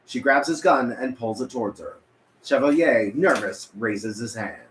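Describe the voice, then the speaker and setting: Male narrator, medium pitch, reading stage directions in a reverberant room with faint noise. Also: normal speed, fairly bright tone. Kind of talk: scripted narration at a table read.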